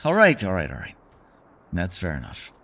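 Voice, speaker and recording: A man voicing a reclusive, centuries-old elf in a fantasy ASMR roleplay, gentle, wry and secretive, with measured formal phrasing. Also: low-pitched, wildly swooping pitch, exaggerated voice. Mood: wry, evasive. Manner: measured, formal phrasing, hesitant and softly teasing. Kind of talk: fantasy ASMR roleplay acting, intimate one-sided dialogue.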